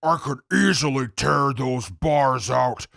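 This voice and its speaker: Gravelly, menacing mutant played by a man. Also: mid-range voice, takes it slowly, fairly bright tone, no room sound, clean studio sound, exaggerated voice. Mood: menacing, taunting. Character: menacing man-eating mutant prisoner taunting his guards. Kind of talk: post-apocalyptic audio drama acting.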